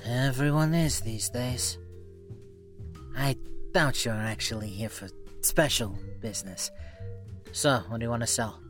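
An actor playing a gruff, unimpressed pawn shop owner in a comedic audio drama, speaking in a blunt, dry deadpan. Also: mid-range voice, unhurried, pauses often, soft background music, lightly acted. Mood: curt, skeptical.

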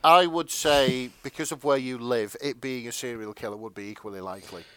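A man's voice: medium pitch, fairly quick, fairly bright tone, clean tone, no room sound. A man co-hosting a podcast, chatting casually in a teasing, sardonic way. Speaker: podcast co-host. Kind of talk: casual banter.

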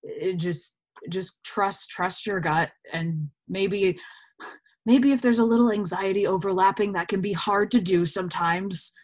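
A woman's voice: mid-range voice, a little slow, a little mellow. A woman chatting informally as a guest in a casual podcast interview. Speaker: podcast guest, actor and cosplayer.

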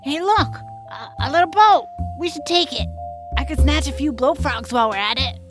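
Woman playing a high-pitched, feisty young adventurer girl. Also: expressive, moderate pace, music in the background. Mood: curious. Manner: brash, plucky, slangy. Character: feisty, loyal, teasing young female adventurer and trader. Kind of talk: audio drama acting.

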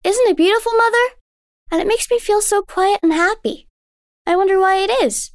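Storybook little girl, played by a woman: innocent, wide-eyed and questioning, pitched well up, close dry sound. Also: normal speed, no echo. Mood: dreamy wonder. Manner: excited, earnest, questioning. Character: eager wide-eyed young girl, curious, sweet, innocent.

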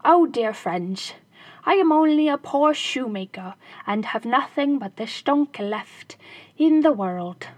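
A clever little shoemaker, sly and pleading by turns, as a woman reading a fairy tale puts him on. His voice is gravelly and husky, close and dry. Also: a little high, animated, a little slow, dry recording, exaggerated voice. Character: crafty little male shoemaker, clever and spirited.

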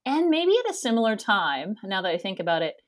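A Canadian woman in her thirties with a loud, nasal voice, chatting as an interview guest and rambling with frequent laughs. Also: medium pitch, big pitch swings, a little fast. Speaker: guest, theater education director. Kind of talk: casual interview conversation.

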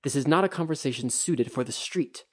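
A man doing a hushed, secretive official. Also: mid-range voice, voice pushed up, close to an everyday voice. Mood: urging. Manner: hushed, urgent, measured.

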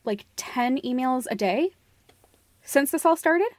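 A woman's voice: high voice, lively intonation, slow, dry recording. Woman co-hosting a podcast, dry close sound. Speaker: podcast co-host. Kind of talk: casual podcast discussion.

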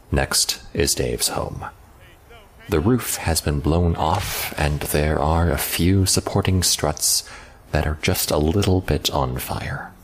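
An actor playing a cold, controlling man who speaks formally and deliberately, with menacing politeness, in a fan-dub audio drama. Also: a bass voice, a bit bright, slightly rough, full character voice. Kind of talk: scripted dramatic dialogue and narration.

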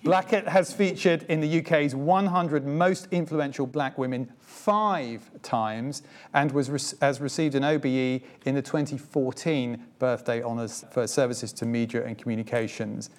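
A man's voice: mid-range voice, fairly even intonation, moderate pace. A man hosting an evening talk at a museum, formally introducing his guest from notes and then interviewing her. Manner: formal, reads from notes, gently humorous. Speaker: host of a talk event. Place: museum event space before an audience.

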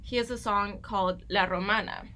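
Bright-voiced young woman explaining eagerly. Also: medium pitch, fairly even intonation, normal speed. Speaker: podcast co-host. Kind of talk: casual scripted discussion.

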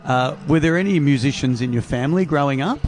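A man's voice: mid-range voice, quick pace, a little mellow, faint music behind. A man with an Australian accent hosting a podcast, speaking in an informal, matey way. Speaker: podcast host, interviewer. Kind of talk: casual interview chat.

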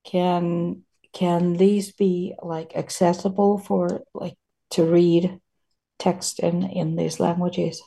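Slow, apologetic Finnish-accented woman. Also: lowish voice, level tone, creaky, warm tone. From Finland. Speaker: caller.

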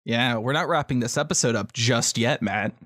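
A man's voice: mid-range voice, moderate pace, fairly bright tone, dry recording, clean studio sound. A man co-hosting a podcast in casual chat, enthusiastic and free with swearing. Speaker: podcast co-host.

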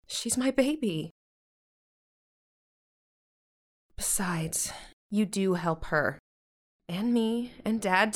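A stressed American mother in middle age, acted by a woman for a modern audio drama. She has a mid-pitched voice, firm and stern yet plainly loving. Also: natural voice. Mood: apologetic, pained.

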